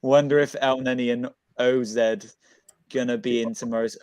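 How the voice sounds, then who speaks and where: A man of middle pitch hosts a relaxed football chat, tossing out questions and reading viewer comments. His voice sounds dry and close to the mic. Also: normal speed, clean tone, no echo. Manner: chatty, reads out viewer comments. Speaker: podcast host.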